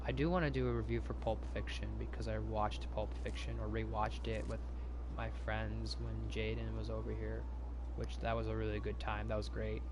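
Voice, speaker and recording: A man's voice: lowish voice, little pitch movement, normal speed, background noise. A man hosting a casual live stream, chatting to viewers in a rambling way, repeating himself and restarting his sentences.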